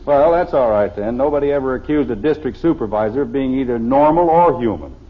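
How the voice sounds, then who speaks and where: An actor playing a stubborn, defensive middle-aged American suburban father in a blustery, exasperated 1950s radio sitcom style, with a husky voice. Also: mid-range voice, animated, brisk, lightly acted. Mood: indignant. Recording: dull, lo-fi old radio recording.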